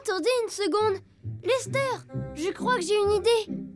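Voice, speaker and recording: Tiny cartoon elephant boy, extremely high voice, eager, earnest, music behind. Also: normal speed. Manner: earnest, childlike. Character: sweet, eager little elephant boy with ideas. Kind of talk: children's cartoon dialogue.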